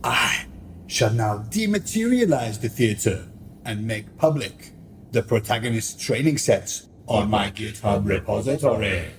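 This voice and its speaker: A man's voice: fairly low pitch, expressive, a little slow, slight background noise. A man playing a scheming theatrical marquis who narrates a radio play in a grandiose, declamatory way. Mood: gloating, grand. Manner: grandiose, declamatory, mock-Shakespearean.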